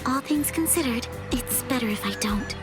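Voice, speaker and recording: A woman voicing a caring, pensive teenage schoolgirl thinking aloud in an anime game, gentle and musing. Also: fairly high pitch, moderate pace, natural voice. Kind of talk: English anime game dub monologue. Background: music.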